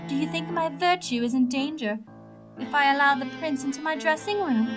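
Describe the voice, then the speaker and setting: A woman acts out a high-pitched pony prima donna from an audio drama. She is haughty and grandiose, switching between scorn and syrupy sweetness. Music plays clearly behind her. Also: a little fast, natural voice. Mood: falsely sweet. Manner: theatrical, grandiose.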